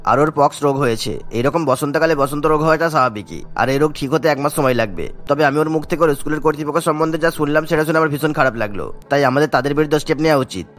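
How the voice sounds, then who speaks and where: Man playing an earnest, quick-talking cartoon doctor. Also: fairly high pitch, fairly bright tone, faint music behind, natural voice. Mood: firm concern. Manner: earnest, righteous. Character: caring, indignant male doctor of firm principle. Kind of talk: cartoon story dubbing.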